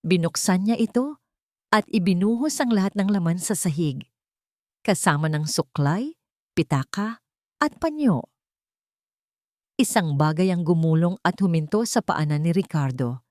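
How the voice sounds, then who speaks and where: A woman's voice: lowish voice, lively intonation, unhurried, pauses often, a little mellow, no echo, clean studio sound. A woman narrating a scripted audio drama as a storyteller, in a dramatic style.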